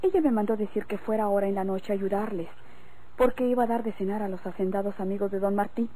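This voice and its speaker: Actress as anxious, mellow Mexican country woman. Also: medium pitch, quick pace, natural voice. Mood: wary. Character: reluctant, anxious rural woman, bandit's secret sweetheart. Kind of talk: golden-age radio drama acting. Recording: noisy old radio recording.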